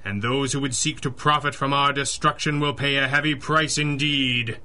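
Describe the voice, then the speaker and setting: Masked pulp hero man, formal n commanding, bright forceful voice, radio play. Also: medium pitch, steady tone, normal speed. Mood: solemn, hypnotic. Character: commanding, solemn masked male crime fighter. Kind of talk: 1930s-style radio drama acting.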